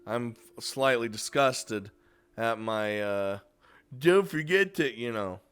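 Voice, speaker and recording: A man's voice: mid-range voice, slow pace, a bit bright, clear, resonant tone, soft background music. A man hosting a casual gaming video, talking informally and swearing freely as he gives his commentary. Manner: informal, chuckling, frequent swearing. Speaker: video game YouTuber hosting a top five list.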